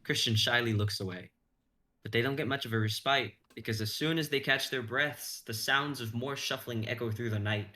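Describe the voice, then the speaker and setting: A man's voice: mid-range voice, moderate pace, bright, forceful tone. A young man reading story narration aloud in an intense, suspenseful manner. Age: young adult. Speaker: stream host and narrator.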